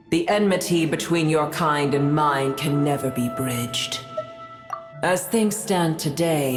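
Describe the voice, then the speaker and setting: A cold, haughty ancient woman from a fantasy game. The voice is low and echoing, with formal, measured phrasing and clear music behind it. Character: proud, cold, ancient female being with a grudge.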